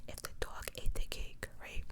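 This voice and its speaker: Woman in pure ASMR whisper. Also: moderate pace. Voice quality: soft breathy whisper. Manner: informal, incredulous commentary between read passages. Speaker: host of true crime ASMR channel. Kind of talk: whispered ASMR reading with casual asides.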